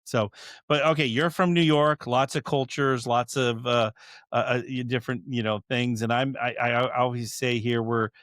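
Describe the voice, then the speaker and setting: A man's voice: medium pitch, steady tone, normal speed, fairly bright tone, no room sound, clean studio sound. A middle-aged man hosting a podcast, rambling with stammers and fillers. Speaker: podcast host. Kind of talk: casual interview chat.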